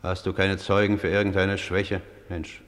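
Radio drama role: judge of the dead, low and level, measured, stern. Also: male voice, completely flat delivery, fairly bright tone. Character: stern male judge of the dead, counselling a shade. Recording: long hollow reverb.

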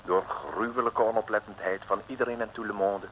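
Low-voiced droll male radio narrator. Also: steady tone, brisk, a bit bright. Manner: mock-solemn, droll. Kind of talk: tongue-in-cheek scripted narration, 1960s radio. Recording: noisy old radio recording.

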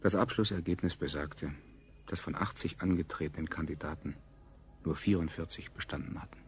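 An actor playing a grave chronicler, narrating a radio play in a measured, faintly ironic way. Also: male voice, extremely low pitch, a little hiss or hum. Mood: sober recounting. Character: grave male chronicler, a survivor recalling the state.

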